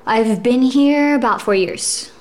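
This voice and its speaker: A woman's voice: fairly high pitch, slow. A young adult woman, an actress, talking in a casual interview with frequent fillers. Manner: thoughtful, with frequent fillers and laughs. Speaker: actress and guest.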